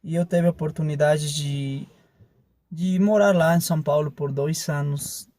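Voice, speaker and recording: A man with a Bolivian accent and Spanish as his first language, giving a relaxed, casual testimonial full of fillers. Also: on the high side, measured pace, dry recording.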